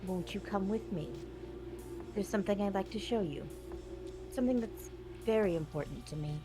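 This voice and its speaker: A woman voicing a mysterious, resolute girl who coaxes and teases softly in a live story reading. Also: mid-range voice, moderate pace, natural voice. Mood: coaxing. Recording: music behind the voice.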